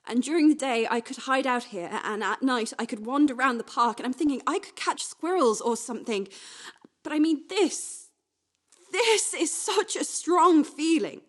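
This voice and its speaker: A woman playing a restless, wistful young woman in a confessional radio play monologue, speaking naturally in halting, repetitive phrases. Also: high-pitched, expressive. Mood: earnest, hopeful. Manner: halting, repetitive, swinging from hushed to agitated.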